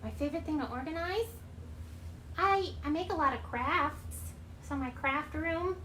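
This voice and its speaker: A woman's voice: high voice, measured pace, fairly bright tone, a little hiss or hum, poor audio. A woman voicing a prim female bird puppet in improvised chat, earnest, literal-minded and easily offended.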